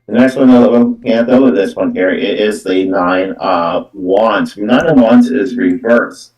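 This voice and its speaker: Echoey, distant low-voiced man reading cards. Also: a little mellow. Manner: repetitive, halting phrasing with verbal tics like "though" and "actually". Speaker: psychic medium doing card readings. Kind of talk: live spiritual card reading, improvised. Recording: echoey room, distant from microphone.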